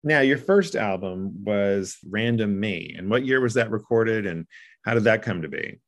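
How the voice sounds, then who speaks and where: Interviewer: man, lowish voice, measured, asks long questions. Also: expressive.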